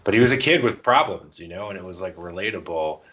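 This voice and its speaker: A man's voice: low-pitched, expressive, quick pace, fairly bright tone, husky, lo-fi sound. A man co-hosting a podcast, chatting casually and thinking aloud in a rambling way. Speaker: podcast co-host. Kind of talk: casual chat about tabletop roleplaying and comics.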